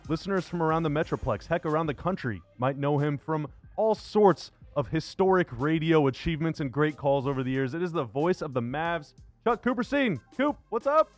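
A man's voice: fairly high pitch, lively intonation, brisk, hardly any pauses, faint music behind. A man hosting a sports radio show, talking in a casual, conversational way about basketball. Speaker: sports radio and podcast host. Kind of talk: upbeat show intro and basketball interview.

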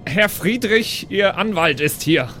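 A man voicing a pompous, indignant dwarf posing as a lawyer, speaking in stilted, affected formal language with halting pauses in an improvised role-playing game. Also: sits high, animated, sharp tone, slight background noise, voice pushed up, exaggerated voice.

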